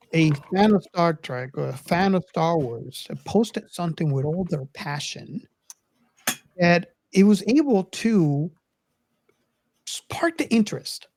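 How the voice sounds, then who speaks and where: A woman's voice: lowish voice, dark tone. A woman hosting a podcast in a casual, enthusiastic and earnest way, often repeating and restarting her words. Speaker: podcast host.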